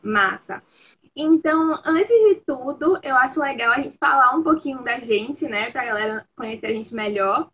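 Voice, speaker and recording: Bright-voiced young woman on a lo-fi call. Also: sits high, animated, moderate pace. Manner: upbeat, addresses the audience as "galera". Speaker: product lead hosting a live stream. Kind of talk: casual live-stream hosting. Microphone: video call audio. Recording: dull lo-fi call audio with faint noise.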